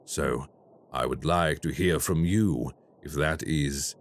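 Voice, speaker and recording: An actor playing a polite, quietly menacing male crime boss in an audio roleplay. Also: a bass voice, a little hiss or hum. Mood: smooth menace. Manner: slow, courteous and quietly menacing.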